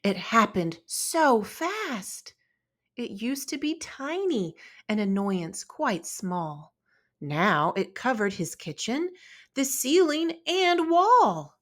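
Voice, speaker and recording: Slow, very animated woman storybook reader. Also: a little high. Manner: deliberate, expressive. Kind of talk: expressive children's read-aloud narration.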